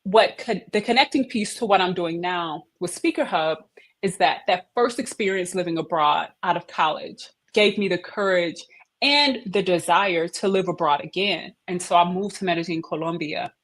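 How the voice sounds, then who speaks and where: Animated woman telling her story. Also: lowish voice, moderate pace. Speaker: head of operations, interview guest. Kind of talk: casual interview conversation.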